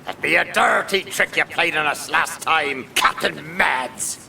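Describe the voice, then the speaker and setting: Creaky, blustering cartoon pirate. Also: male voice, on the high side, a little slow, sharp tone, far from the mic, slight background noise, full character voice. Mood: gloating, threatening. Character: blustering, would-be fearsome pirate henchman.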